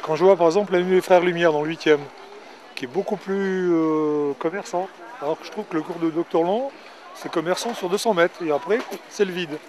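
A man's voice: high voice, brisk, darkish tone, slight background noise. A middle-aged man answering a street interview at an outdoor market, speaking plainly with some hesitation. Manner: plain, hesitant, lists what is missing. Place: outdoor market under stall awnings. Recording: busy outdoor market crowd. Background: market crowd chatter.

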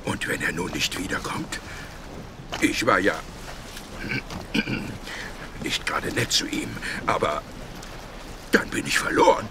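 An actor playing a whiny, grumbling robber who laments and exclaims in a children's audio drama. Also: male voice, sits low, lively intonation, slow, long pauses, noisy background. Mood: shivering, grumpy.

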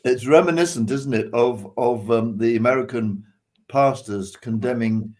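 A man's voice: medium pitch, measured pace, darkish tone. An older man with a British accent, speaking off the cuff as a panelist on a video call. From United Kingdom. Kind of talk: unscripted panel discussion. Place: living room on a video call.

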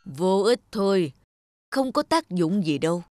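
A woman's voice: medium pitch, expressive, unhurried, darkish tone, close to an everyday voice. A woman acting a stern cartoon Grim Reaper over music. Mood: stern, reproachful. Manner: solemn, reproachful. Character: stern, scolding Grim Reaper, male spirit of death. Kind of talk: animated fairy tale voice acting.

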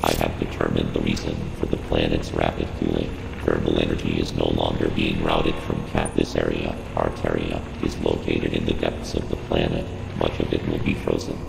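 A man's voice: extremely low pitch, creaky, heavily acted. A man voicing a cold, analytical computer intelligence in a video game, giving formal, emotionless briefings in a synthetic, robot-processed voice. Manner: formal, clipped, emotionless, instructing. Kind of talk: scripted game mission briefing. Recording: echoing reverberant game audio, loud ambient noise.

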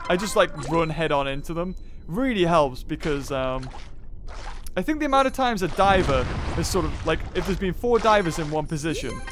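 A young man giving excitable gameplay commentary, often shouting and laughing. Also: sits high. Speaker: gaming youtuber.

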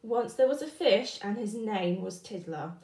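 A woman reading a children's picture book aloud with expressive, deliberate, rhythmic narration. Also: mid-range voice. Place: indoor room, book on wooden surface. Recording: roomy indoor echo.

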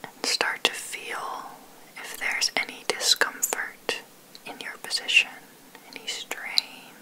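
Whispering woman guiding slow sleep meditation. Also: rough recording. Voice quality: breathy whisper. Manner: soothing, gentle, unhurried with long pauses. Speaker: meditation guide, ASMR host. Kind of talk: ASMR guided sleep meditation.

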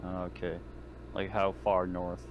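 A man with a fairly low voice chats as a player in an online roleplaying game. He is inquisitive, likes to explain and swears casually. There is slight noise on his voice call. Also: completely flat delivery, slow, dull audio. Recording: faint background noise.

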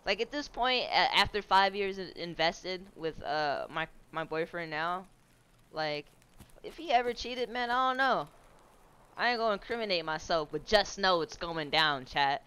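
Woman, 20s, low voice, headset mic, home streamer, casual swearing banter, smooth clear tone. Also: lively intonation, a little slow, pushed and bright. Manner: laid-back, swearing, chatting with viewers, laughing often. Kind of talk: casual chat while gaming.

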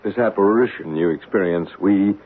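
Low-voiced, calm psychic investigator, old radio drama. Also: male voice, slightly rough. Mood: reassuring. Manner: measured, polite, explanatory. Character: calm earnest male psychic investigator, courteous and reassuring. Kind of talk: 1970s radio drama acting. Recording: tinny band-limited 1970s radio recording, faint hiss.

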